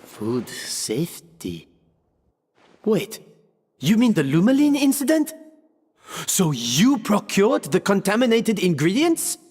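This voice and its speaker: An actor playing a calm adult cook in a game, speaking in a matter-of-fact way. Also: male voice, high voice, takes it slowly, pauses often, husky, heavily acted. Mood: concerned. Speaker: kitchen staff lead. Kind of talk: game voice acting. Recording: reverb with soft game music.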